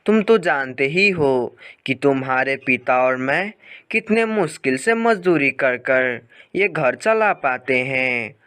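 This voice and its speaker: A narrator voicing a poor, weary mother bird who gently cautions her child in a cartoon story. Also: mid-range voice, voice pushed down.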